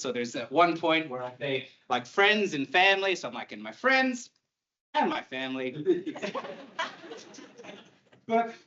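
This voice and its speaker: A man's voice: fairly high pitch, bright, forceful tone, muffled recording. A man in his thirties with an Irish accent, a university lecturer giving an online talk in a conversational way, with light humor and many fillers. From Ireland.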